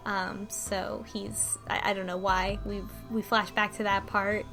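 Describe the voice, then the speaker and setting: A woman's voice: mid-range voice, level tone, a little slow, vocal fry, music in the background. A woman casually recapping a visual novel on a podcast, with lots of ums and restarts. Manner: rambling, many ums and restarts, laughs often.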